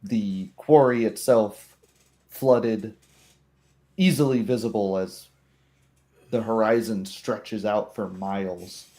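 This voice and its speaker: A man working as game master, narrating scenes for a tabletop roleplay in a measured, descriptive way. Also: fairly low pitch, steady tone, mellow.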